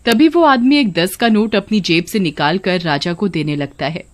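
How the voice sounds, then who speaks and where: A woman's voice: medium pitch, normal speed. A woman narrating a scripted moral story.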